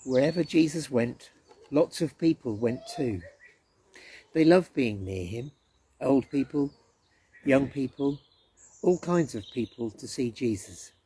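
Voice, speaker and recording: Husky, mellow man reading a children's story. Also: mid-range voice, measured pace, long pauses. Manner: gentle, expressive storytelling with dramatic emphasis. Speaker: story reader at a church.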